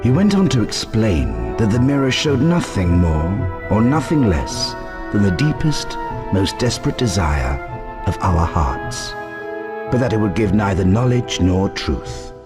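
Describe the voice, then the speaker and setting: A male narrator tells a scripted story in a lowish voice with lively intonation. Loud music plays behind him throughout. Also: measured pace.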